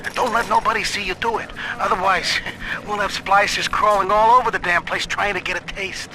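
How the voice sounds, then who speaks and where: A shifty smuggler from a video game, played by a man, talks in a high-pitched voice and slangy, conspiratorial style. Clear background noise sits behind him. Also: steady tone, fairly quick, close to an everyday voice. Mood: sly, instructing. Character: shifty smuggler dealing in illicit plasmids.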